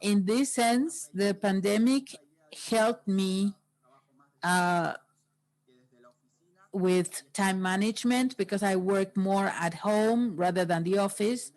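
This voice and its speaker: A woman interpreting a workshop into English, pausing often between phrases. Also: mid-range voice, darkish tone, rough recording.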